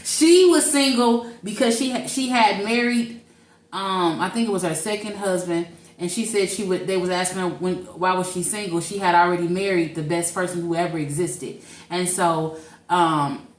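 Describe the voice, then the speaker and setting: A woman's voice: lowish voice, steady tone, normal speed. A woman in her forties with a St. Louis accent, co-hosting a podcast in a chatty, rambling way. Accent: St. Louis, Missouri. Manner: chatty, rambling, laughs easily. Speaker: podcast co-host. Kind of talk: casual podcast chat. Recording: echoey room, off-mic, faint noise.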